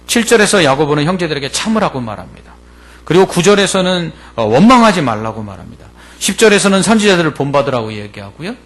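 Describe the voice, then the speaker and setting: A male pastor delivers an expository sermon in a deliberate, explanatory way, stressing key words and posing rhetorical questions. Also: fairly high pitch, very animated, fairly bright tone.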